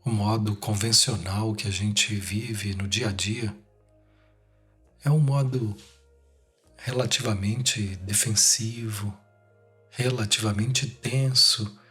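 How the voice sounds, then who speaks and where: A Brazilian man leading a guided meditation, speaking in short, unhurried phrases with long pauses between them. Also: on the low side, steady tone, a bit bright, breathy edge. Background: music.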